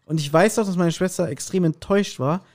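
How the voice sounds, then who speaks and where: A man with a fairly high voice chats casually as a podcast co-host. His banter is dry and pokes fun at himself. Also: brisk, darkish tone. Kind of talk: casual chat.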